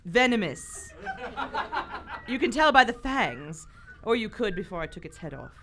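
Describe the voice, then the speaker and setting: Poised, animated lady adventurer played by an actress. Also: mid-range voice, unhurried, exaggerated voice. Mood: wry, commanding. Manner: dry, composed, commanding. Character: poised, wry aristocratic British woman explorer, brave leader. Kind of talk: live radio theater acting. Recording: live stage recording with faint noise.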